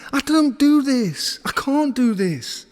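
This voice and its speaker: An actor plays an insecure boyfriend who demands unconditional love in an audio drama. His male voice sits high and sounds anguished and accusing as he argues. Long reverb surrounds every line. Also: sing-song, slow, a little mellow, full character voice. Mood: anguished, angry. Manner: anguished, argumentative, reproachful. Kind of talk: naturalistic audio drama dialogue.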